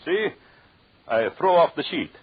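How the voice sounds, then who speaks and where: An actor playing a jealous, murderous husband in an old radio drama, with a theatrical, mocking menace and formal phrasing. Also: male voice, a little high, sing-song, unhurried, breathy edge, dry recording, full character voice. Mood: mocking, gloating. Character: vengeful, jealous husband, cruelly gloating murderous anthropologist. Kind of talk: 1940s radio drama acting. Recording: dull, lo-fi old radio recording.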